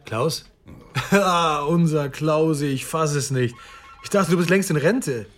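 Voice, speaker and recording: An actor playing a cocky, flippant police detective with a casual, teasing manner in a radio crime drama. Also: male voice, a little high, a little slow, fairly bright tone, faint music behind. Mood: mocking. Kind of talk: naturalistic German radio crime drama acting.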